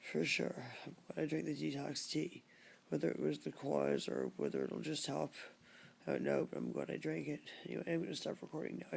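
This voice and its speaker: A woman keeps a casual video diary from her floor at home. Her voice is hoarse and weak, often dropping to a murmur with some gravel in it. A faint background hum sits under the handheld recording. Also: low voice. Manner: soft, murmuring, often near a whisper. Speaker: vlogger.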